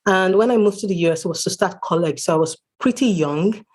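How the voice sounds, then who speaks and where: Female author from Nigeria, somewhat low-pitched, giving earnest, conversational explanations as an interview guest. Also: quick pace, darkish tone.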